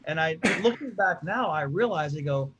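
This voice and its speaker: Host: high-pitched man, middle-aged, chatty rambling storyteller, dull lo-fi sound. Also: animated, moderate pace, noisy background. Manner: rambling, repeats himself, storytelling. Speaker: comedy show host. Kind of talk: casual chat.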